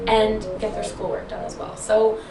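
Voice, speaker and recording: A woman's voice: mid-range voice, flat delivery, normal speed, crowd noise. A woman residence coordinator answering interview questions in a chatty way, with lots of "like" fillers. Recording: roomy echo, distant mic, loud music underneath.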